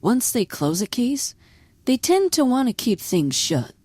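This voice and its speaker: A woman playing a sharp, questioning young space trainee in an audio play, speaking in a direct, businesslike way. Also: fairly high pitch, sing-song, measured pace, husky, no room sound, heavily acted. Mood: wary.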